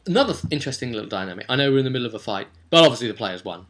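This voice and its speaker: A man rambling through a vlog as a game master, animated and emphatic, repeating words for stress and slipping into imagined players' remarks. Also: medium pitch, racing. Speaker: tabletop game master and vidcast host. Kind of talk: rambling vlog commentary on a roleplaying game session.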